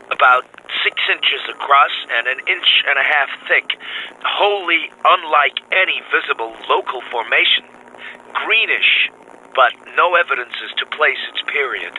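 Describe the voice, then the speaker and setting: A man playing an excited, driven field scientist, dictating clipped, precise, urgent bulletins over a wireless link. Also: mid-range voice, a little hiss or hum, exaggerated voice, low-quality recording. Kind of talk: clipped telegraphic radio drama reading.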